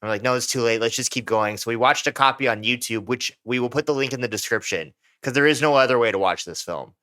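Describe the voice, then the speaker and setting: A young man from America talking loosely on a podcast, his clear voice bright and forward. He rambles through stories, leaning on filler words as he goes. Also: mid-range voice, quick pace. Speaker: podcast co-host. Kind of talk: casual chat.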